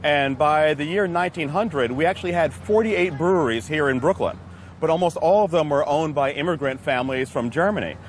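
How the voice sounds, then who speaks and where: A man's voice: a little high, brisk, slight background noise. An American man, a brewmaster, talking casually and laughing easily in an outdoor chat. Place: outdoors in a city street.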